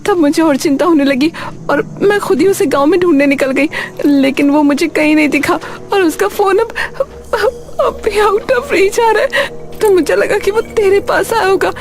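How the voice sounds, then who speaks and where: A woman's voice: extremely high pitch, normal speed. A woman voicing a terrified middle-aged mother whose son has gone missing, stammering and crying as she speaks. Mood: tearful panic. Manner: sobbing, stammering, frantic. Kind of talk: horror cartoon voice acting.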